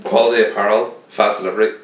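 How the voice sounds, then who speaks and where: A man's voice: steady tone, fast. A man co-hosting a casual film podcast with dry jokes. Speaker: podcast co-host. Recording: echoey room, distant, faint rumble.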